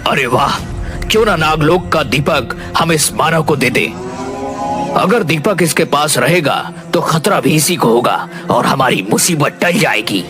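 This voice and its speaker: A man's voice: a little high, lively intonation, measured pace. A man voicing a hungry, menacing man-eating forest demon in a cartoon story. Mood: scheming. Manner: menacing, scheming. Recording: music behind the voice.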